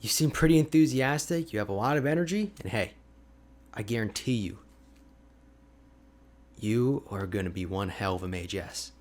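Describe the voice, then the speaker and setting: A man playing an energetic, friendly young ice mage mentor in an ASMR roleplay, talking to the listener in an animated, informal, chatty way. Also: fairly low pitch, normal speed, pauses often, lightly acted. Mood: encouraging, friendly. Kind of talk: ASMR roleplay audio drama, addressing the listener.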